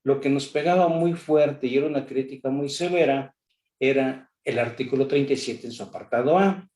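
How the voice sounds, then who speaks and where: An older man with a Mexican accent delivers a conference lecture in a mellow, warm voice. He keeps it animated, throwing out rhetorical questions and talking straight at an imagined lawmaker. The recording has a roomy echo. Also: medium pitch, normal speed. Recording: echoey room.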